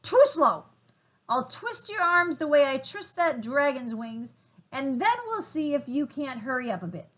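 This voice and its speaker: A woman's voice: high-pitched, big pitch swings, a little slow, pitched above the performer's own voice. A woman voicing a huge, fierce, impatient male gorilla who blusters and rages in a storybook reading. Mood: threatening. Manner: loud, raging, demanding.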